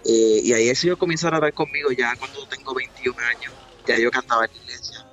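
A man in his twenties with a Puerto Rican accent, a gospel singer talking earnestly about his faith in a remote interview, with pauses and fillers. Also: medium pitch, fairly even intonation, sharp tone, lo-fi sound, faint music behind. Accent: Puerto Rican Spanish. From Puerto Rico. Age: 20s.

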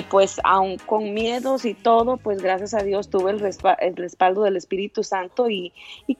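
A woman's voice: medium pitch, lo-fi sound. A woman in her thirties, born in El Salvador, a singer answering interview questions in a chatty way and laughing often. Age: 30s. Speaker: singer, guest.

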